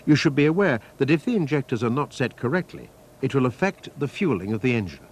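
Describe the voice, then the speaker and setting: A man reading a scripted technical training film narration in a measured, precise, instructional manner. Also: mid-range voice, sing-song. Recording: noisy archive training-film soundtrack.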